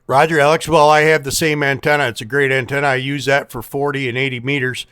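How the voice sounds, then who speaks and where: A man talking casually as an amateur radio operator in a folksy, measured, plain-spoken manner. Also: mid-range voice, level tone, pushed and bright, smooth. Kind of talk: casual ham radio contact.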